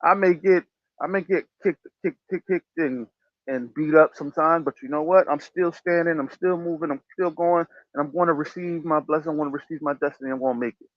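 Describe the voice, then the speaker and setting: A man's voice: a little high, brisk, no room sound. A man hosting a casual talk show, giving motivational advice in an emphatic, preachy way and repeating his phrases. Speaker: podcast host. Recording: dull lo-fi sound.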